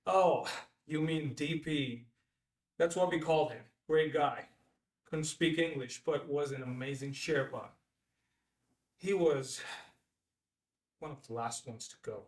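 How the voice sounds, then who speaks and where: A man's voice: a little high, takes it slowly, long pauses. A man acting the part of a charismatic bestselling mountaineer in his late thirties at a table read, speaking in a theatrical, weighty way. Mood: polished, gracious. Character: charismatic best-selling mountaineer, late thirties, slick and self-mythologizing. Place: indoor room at a filmed table read. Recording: echoey room, distant microphone.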